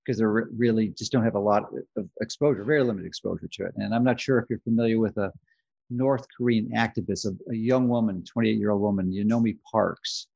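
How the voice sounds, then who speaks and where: A man hosting a political interview, who asks questions in an opinionated and halting way. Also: lowish voice, creaky, a little mellow, muffled recording.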